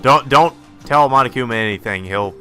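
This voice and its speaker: A man's voice: medium pitch, a little fast, pushed and bright. A man giving casual, sweary, offhand commentary while playing a visual novel. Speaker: let's play host. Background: faint game music.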